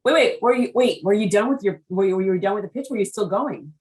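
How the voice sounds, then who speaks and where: A woman hosting an interview, chatty and animated, often repeating words as she jumps in. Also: medium pitch, quick pace, distant mic. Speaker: interview host. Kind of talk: casual conversational interview.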